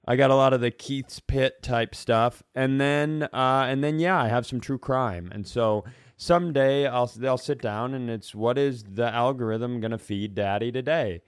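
A man's voice: medium pitch, normal speed, few pauses, smooth, no echo. A man with a mid-range voice talks casually as a comedy podcast co-host. He rambles through his stories in a self-mocking, sweary way. The sound is close and dry, with hardly any room around it.

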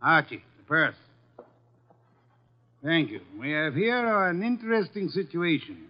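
An actor playing a fat, shrewd, commanding middle-aged detective with formal, precise diction in a 1950s radio drama. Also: male voice, fairly high pitch, sing-song, unhurried. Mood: imperious, measured. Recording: dull, lo-fi vintage radio recording.

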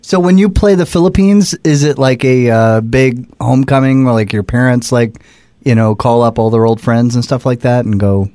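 A man hosts a podcast in a jokey, casual way, asking question after question. His voice sits at a middle pitch. The sound is dry and close. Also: moderate pace, warm tone, dry recording. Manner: jokey, fires off strings of questions. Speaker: podcast host. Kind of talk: casual interview chat.

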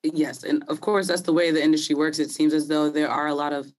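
A woman hosting a sports podcast in casual conversation, her voice slightly hoarse from talking a lot, chatty and quick to laugh. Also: low voice, level tone. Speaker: podcast host.

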